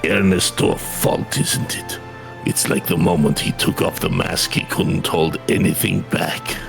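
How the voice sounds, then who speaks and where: A solemn, gentle mourner from a fantasy game, read by a man. The delivery is soft and measured in a low, creaky register. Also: steady tone, over music, heavily acted. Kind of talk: video game dialogue read aloud.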